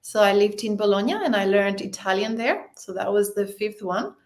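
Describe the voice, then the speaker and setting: A woman's voice: medium pitch, fairly even intonation, normal speed. A woman born in Spain, Spanish her first language, chatting as a podcast guest, laughing often and restarting her sentences. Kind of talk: casual interview chat.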